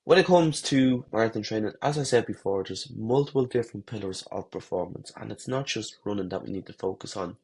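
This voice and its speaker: A man with a head cold, congested and slightly husky, giving runners a relaxed, rambling talk-to-camera lesson with fillers and restarts. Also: fairly low pitch. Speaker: running coach.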